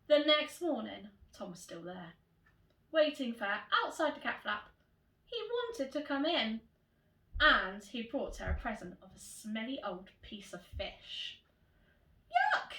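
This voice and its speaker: A woman teacher reading a children's storybook in an animated, expressive way, addressing young children directly. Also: fairly high pitch, a little slow. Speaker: primary school teacher reading to pupils. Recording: roomy echo, distant microphone.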